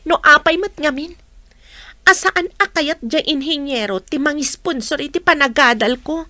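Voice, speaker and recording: An earnest young student daughter with an Ilocano accent. She speaks high and bright in a close, dry radio drama recording. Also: moderate pace, no room sound.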